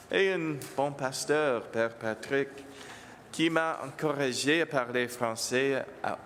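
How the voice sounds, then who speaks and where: A priest whose first language is English gives a homily in French with an English accent, speaking very slowly and haltingly at a middling pitch. He slips English words into his sentences. His voice carries a long church reverb over a faint room ambience. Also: a bit bright, slight background noise. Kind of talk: homily with children.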